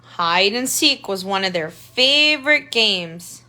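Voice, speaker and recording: A woman's voice: mid-range voice, expressive, slow, sharp tone. A woman tutor reading a picture book aloud to children, adding simple explanatory asides and pointing things out. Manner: simple child-directed phrasing, pointing things out with questions and remarks.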